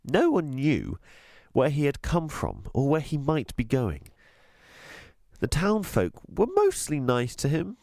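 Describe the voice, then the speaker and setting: A man reading a children's storybook aloud as a teacher would, with expressive, deliberate, emphatic delivery. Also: on the high side, vocal fry, no echo, studio quality. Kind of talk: scripted storybook narration.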